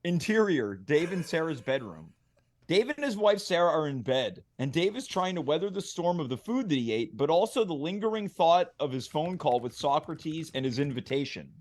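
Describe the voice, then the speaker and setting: A man hosts a casual table read and narrates the stage directions. His voice is on the high side and moves around a lot in pitch. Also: big pitch swings, normal speed. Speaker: host reading stage directions.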